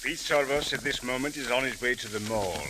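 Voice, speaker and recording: An actor playing a stern, suspicious police captain, speaking formally and deliberately in old radio drama style. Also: male voice, medium pitch, lightly acted. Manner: formal, deliberate, uncontracted speech. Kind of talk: 1940s-50s radio detective acting. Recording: hissy old radio recording.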